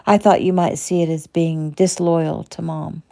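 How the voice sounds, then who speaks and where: Brief: earnest young son voiced by a woman, low mellow voice with gravel. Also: flat delivery, measured pace. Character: affectionate young adult son.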